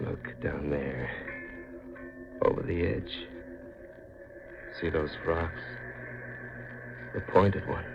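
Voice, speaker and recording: A man playing a haunted, menacing fisherman in a 1950s radio mystery, speaking in a halting, ominous way with a gravelly voice. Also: extremely low pitch, over music, heavily acted. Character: brooding, haunted, menacing fisherman, a grieving murderer. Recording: noisy, muffled 1950s radio recording.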